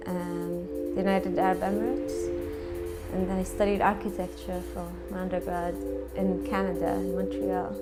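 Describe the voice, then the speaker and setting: A woman in her thirties from Iraq, an art student and former architect, talking in a steady, matter-of-fact way. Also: medium pitch, creaky. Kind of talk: documentary interview. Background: music.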